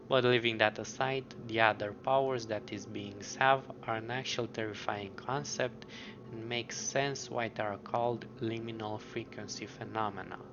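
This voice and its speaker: A man narrating an explanatory video commentary, mostly scripted with casual asides, on a muffled, noisy lo-fi recording. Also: fairly low pitch. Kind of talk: explanatory commentary on a horror web series. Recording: muffled lo-fi audio with clear background noise.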